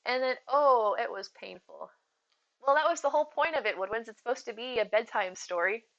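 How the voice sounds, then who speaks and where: A woman's voice: a little high, lively intonation, a little fast, fairly bright tone, muffled recording. A woman streamer chatting casually with her viewers, rambling and giggling as she goes, with a soothing voice. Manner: chatty, rambling, giggly, addresses chat by name. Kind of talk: casual live-stream chat.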